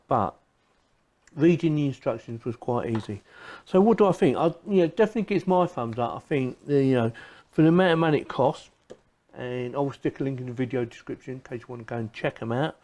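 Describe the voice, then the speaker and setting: A man with a mellow, relaxed voice talks casually through a product review. He is chatty and unscripted, circling back over his phrases as he explains. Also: medium pitch. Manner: chatty, repeats phrases as he goes.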